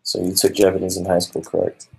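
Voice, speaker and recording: Deep-voiced man asking winding questions. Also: monotone, creaky, mellow, slightly rough, poor audio. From Hawaii. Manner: halting, long winding questions. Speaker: interviewer. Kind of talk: casual interview.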